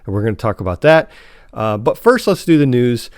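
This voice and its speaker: A man's voice: mid-range voice, sing-song, a little mellow, no echo. Guy podcast host, chatty n casual, stumbles and restarts a lot, really dry room. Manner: halting, repeats words and restarts often.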